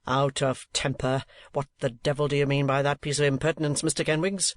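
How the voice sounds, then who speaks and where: A pompous older uncle, quick to take offence and sharp in his retorts, as a man voices him. He speaks a little higher than the narration and with added force. The storybook reading sounds dry. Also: level tone, no room sound, lightly acted. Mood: huffy. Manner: sharp, huffy and self-important. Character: pompous, touchy older male rich uncle. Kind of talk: audiobook character voice.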